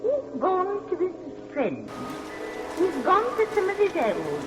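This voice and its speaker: A woman's voice: extremely high pitch, slow, over music, exaggerated voice. A woman playing a haughty, sinister employer in a vintage radio melodrama, speaking with formal, imperious and ominously polite menace. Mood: coolly menacing. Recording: vintage radio recording, steady rain noise.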